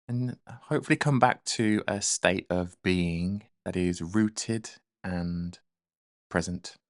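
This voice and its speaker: A man leading a guided meditation in a gentle, soothing, unhurried manner. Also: low-pitched, dry recording, studio quality.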